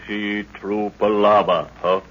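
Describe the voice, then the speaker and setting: A man's voice: fairly low pitch, little pitch movement, takes it slowly, fairly bright tone. A man in an old radio drama playing a superstitious village guide who talks earnestly in broken pidgin English. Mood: earnest, wary. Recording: muffled, noisy old radio recording.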